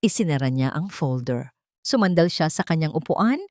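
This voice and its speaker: A woman reads a dramatic story as its narrator. Her voice sits low for a woman, and the sound is dry, with hardly any room on it. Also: lively intonation, normal speed, darkish tone, dry recording.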